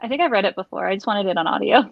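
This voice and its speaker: A woman's voice: mid-range voice, lively intonation, very fast, dry recording. A woman on a livestream panel, chatting casually. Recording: dull, dry lo-fi call audio.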